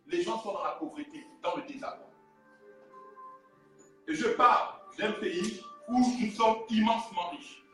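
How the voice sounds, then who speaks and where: A man's voice: high voice, a bit bright. A man with a Gabonese French accent, preaching a fiery sermon and urging on his congregation. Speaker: pastor. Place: church hall with a congregation. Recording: very echoey hall recorded from a distance.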